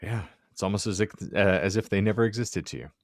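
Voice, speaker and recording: A man co-hosting a casual podcast, bantering and reading aloud with deliberate emphasis and joking asides. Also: fairly low pitch, no room sound, clean studio sound. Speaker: podcast co-host.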